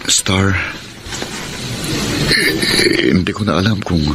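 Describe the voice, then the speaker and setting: A man's voice: low voice, slow, heavy background noise. An actor playing a shy, lovestruck man in a Tagalog radio romance, with a Filipino accent and a hesitant, earnest way of talking. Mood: nervous, pleading.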